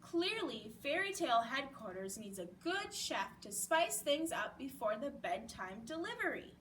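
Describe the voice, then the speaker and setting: A woman's voice: high-pitched, big pitch swings, a little slow, fairly bright tone, heavily acted. A woman voicing an earnest, eager male amateur cook in a children's storybook. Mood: puzzled, determined. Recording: distant mic with background noise.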